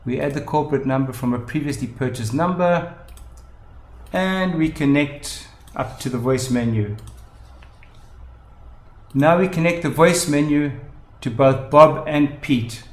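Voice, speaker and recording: A man's voice: fairly high pitch, unhurried, long pauses. Man narrating a tutorial in an echoey room. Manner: methodical, step-by-step explaining. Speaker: tutorial presenter. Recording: roomy, echoing voice-over.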